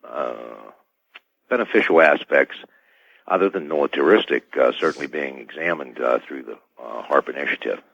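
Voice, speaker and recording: Male phone-in guest, extremely low pitch, muffled dry line, formal and halting delivery. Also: creaky, a bit bright, husky, dry recording. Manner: halting, formal technical wording. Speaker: guest caller. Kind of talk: radio discussion by telephone. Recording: dull, muffled telephone audio.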